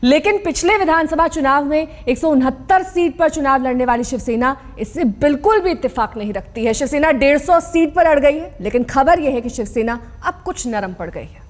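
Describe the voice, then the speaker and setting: A woman anchoring a television news bulletin with crisp, brisk reading. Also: sits high, animated.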